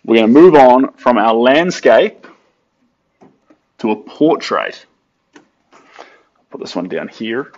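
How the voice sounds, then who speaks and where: A young man, yarning to viewers while he paints. He talks slowly and chattily at a middling pitch. The sound is dull and a little lo-fi. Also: sing-song, a little mellow. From New Zealand. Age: late 20s. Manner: chatty and upbeat, sings snatches of songs and talks to viewers. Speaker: artist streaming while painting. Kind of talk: casual live-stream chat while painting.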